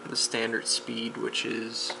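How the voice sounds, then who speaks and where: A man with a gravelly, creaky voice walks through a piece of old gear in an offhand, unscripted way. His explanations ramble and halt as he goes. There is faint noise behind him in an amateur recording. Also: mid-range voice, completely flat delivery, takes it slowly. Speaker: hobbyist demonstrating vintage audio gear. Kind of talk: casual unscripted product demonstration.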